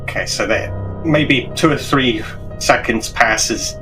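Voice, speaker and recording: A man playing a tabletop game, casually narrating his character's actions in halting, conversational phrases. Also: medium pitch, over music. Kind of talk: casual third-person roleplay narration.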